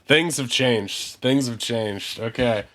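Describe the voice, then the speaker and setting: A man's voice: mid-range voice, takes it slowly, sharp tone. A man hosting a tabletop game stream, congested from the flu, chatting loosely with lots of fillers before opening the session. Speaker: game master. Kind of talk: casual stream chat and game intro.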